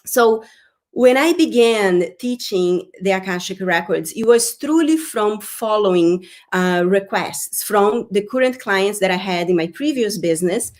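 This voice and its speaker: A woman's voice: mid-range voice, expressive, unhurried, darkish tone. A middle-aged woman from Brazil with a Brazilian Portuguese accent, hosting a live online workshop in an effusive way, often repeating her words. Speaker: workshop host. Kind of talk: live-stream workshop talk.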